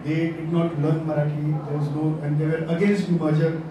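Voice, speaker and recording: A man speaking on a discussion panel, thoughtful and halting with many fillers. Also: fairly high pitch, level tone. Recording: echoey distant hall recording with noise.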